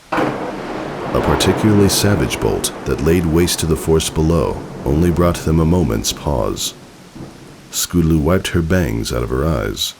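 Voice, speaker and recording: A man reading a story aloud as narrator, in an expressive, theatrical, dramatic style. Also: a bass voice, gravelly, slightly rough, poor audio. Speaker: fan fiction narrator.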